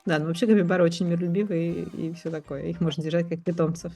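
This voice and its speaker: A woman's voice: fairly low pitch, flat delivery, racing, dark tone. A woman chatting casually as a participant in a podcast. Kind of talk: casual chat. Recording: music in the background. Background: music.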